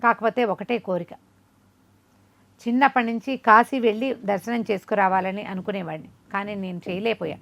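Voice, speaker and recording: A woman's voice: mid-range voice, moderate pace, vocal fry, a bit bright. A woman storyteller voicing a resigned noble king in a folk tale, humbly pleading. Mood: humble, resigned.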